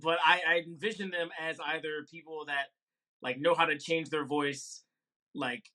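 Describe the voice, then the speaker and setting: A man's voice: a little high, steady tone, normal speed, bright, forceful tone, rough recording. A man on a casual podcast, answering in a hesitant, thoughtful way with many fillers.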